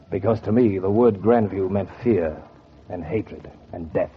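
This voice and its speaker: Old radio noir bitter revenge guy, low gravelly voice, sardonic, muffled recording. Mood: brooding, vengeful. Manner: brooding, sardonic, deliberate. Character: bitter, cold-blooded man consumed by revenge, hardboiled narrator. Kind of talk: 1940s radio noir acting with first-person narration. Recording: dull, noisy 1940s radio recording.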